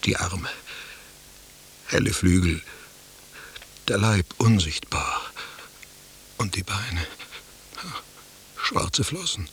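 An actor playing a sly, mocking, jilted husband in a literary radio play, with florid, ironic musing and a husky voice. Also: male voice, low voice, expressive, very slow, long pauses, a bit bright, a little hiss or hum, exaggerated voice. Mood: brooding, musing.

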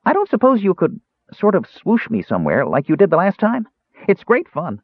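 An excitable small kender, put on by a man, chatters in a bright, breathless, much higher voice. The sound is husky and dry, squeezed into a tinny, phone-like band. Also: normal speed, dry recording, heavily acted. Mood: wistful. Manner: breathless, high and eager.

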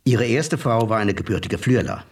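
An actor playing a suave Swiss visitor from Basel with theatrical, quietly menacing speech. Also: male voice, medium pitch, animated, moderate pace, lightly acted. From Switzerland. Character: suave male Swiss visitor making an offer. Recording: distant mic with music behind.

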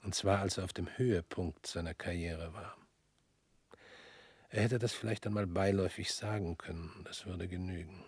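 An actor in a radio drama playing a weary former prosecutor who speaks slowly and drops to near whispers. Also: male voice, deep voice, fairly even intonation, husky, no echo.

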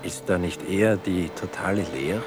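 A man's voice: low-pitched, moderate pace, music in the background, natural voice. An actor playing a probing, sceptical man in conversational radio play dialogue.

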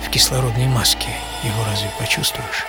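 A man playing an exhausted, wryly philosophical mountaineer in a radio monologue, halting and breathy, drifting between whisper and voice. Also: mid-range voice, steady tone, natural voice. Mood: wry, reflective. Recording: music under the voice. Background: music.

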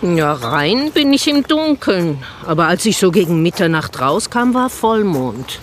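A woman's voice: lowish voice, very animated, measured pace, slight background noise. A woman playing an earnest, defensive animal-rights activist in a naturalistic radio drama, speaking emphatically with a sardonic edge.

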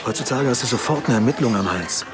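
An actor playing a blunt, defensive middle-aged police detective in a radio drama. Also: male voice, mid-range voice, lively intonation, quick pace, close to an everyday voice. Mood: defensive, uneasy. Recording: music clearly behind the dialogue.

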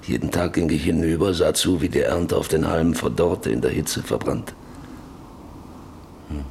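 A man's voice: extremely low pitch, steady tone, moderate pace, creaky, a little mellow, slight background noise. An actor in a German radio drama playing a stern, domineering middle-aged farmer father who speaks bluntly and commandingly. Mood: brooding narration. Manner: commanding, blunt, cutting others short.

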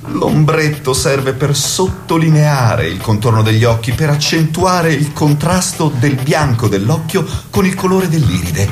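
A man playing an obsessive, perfectionist beautician, speaking in a measured, intense theatrical way. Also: a little high, expressive, soft background music. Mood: precise, persuasive. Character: obsessive male beautician, precise, adoring, perfectionist. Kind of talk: theatrical literary dialogue.

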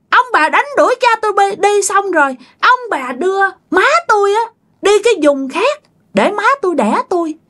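A woman voicing a vengeful, accusing ghost in a thin, shrill voice with a Southern Vietnamese accent, telling a ghost story. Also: fairly bright tone. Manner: accusing, curt, repetitive questioning. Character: vengeful ghost of a murdered grandchild possessing a man.

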